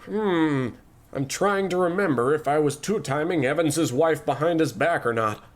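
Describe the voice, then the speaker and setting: A man's voice: a little high, moderate pace, lightly acted. An actor playing a pompous, ruthless rich tycoon in a radio-style comedy, talking down to his staff with sinister muttered asides. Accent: New England. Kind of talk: old-time radio comedy acting.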